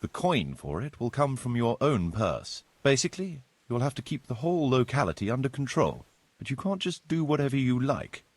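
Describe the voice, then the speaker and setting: Video game role: practical, courteous village steward, husky and measured, recorded close and dry. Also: male voice, mid-range voice, sing-song, dry recording. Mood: instructive, firm. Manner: measured, formal, instructive. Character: practical medieval village steward, courteous, businesslike adult man. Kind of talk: video game cutscene dialogue, explanatory.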